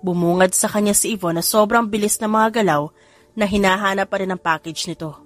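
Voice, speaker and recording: A woman's voice: medium pitch, a little fast. A woman with a Filipino accent reading a scripted romance story as an audiobook narrator. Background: music.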